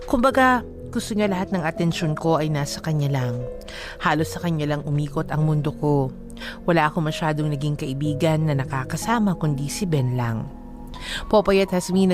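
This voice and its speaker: A woman working as a radio DJ and co-host, in studio banter and letter-reading style. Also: low-pitched. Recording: music bed behind the voice.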